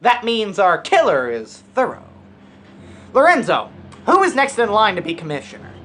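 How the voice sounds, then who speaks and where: A woman playing a blunt, wry detective in a scripted radio parody, with a deadpan, theatrical delivery. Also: mid-range voice, moderate pace. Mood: dry, probing. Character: blunt, wry detective narrating a murder investigation.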